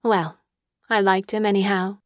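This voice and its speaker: A woman acts out a young society wife in a literary audio drama. She is coquettish and murmuring, with a medium pitch. The sound is dry and intimate. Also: flat delivery, moderate pace, no room sound, close to an everyday voice. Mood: charmed.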